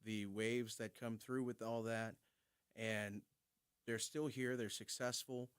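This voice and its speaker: A man's voice: on the low side, little pitch movement, takes it slowly, a bit bright, clean tone, no echo, clean studio sound. A young man hosting a talk show, affirming his guest and asking follow-up questions. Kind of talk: casual talk show conversation.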